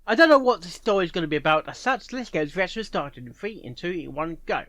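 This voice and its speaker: Lively-voiced joking male reaction host. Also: sits high, quick pace, no room sound. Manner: jokey, chatty asides. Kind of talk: casual reaction commentary.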